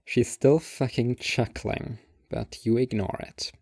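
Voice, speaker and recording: A man's voice: mid-range voice, steady tone, slow pace, gravelly, darkish tone, dry recording, clean studio sound. A man reading scripted literary narration as the narrator of a second-person story.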